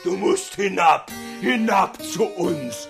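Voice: mid-range voice, lively intonation, creaky, music in the background. An actor voicing vengeful, accusing ghosts of dead painters in theatrical, declamatory storybook style. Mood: menacing. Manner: declamatory, drawn-out repeated questions and curses. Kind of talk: theatrical audiobook acting.

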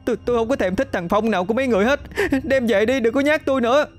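A man's voice: animated, a little mellow, soft background music. A man voicing a frightened young female student who protests and pleads through tears. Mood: tearful indignant. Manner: shrill, sobbing, rapid protesting.

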